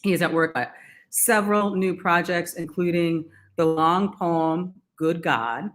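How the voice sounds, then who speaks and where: A woman hosting a literary and arts salon, introducing speakers in a measured way. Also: lowish voice. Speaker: event host.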